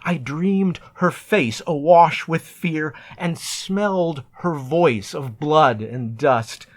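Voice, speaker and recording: A man reciting narrative poetry in a deliberate, measured, theatrical way, as a poet reading his own work. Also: on the high side.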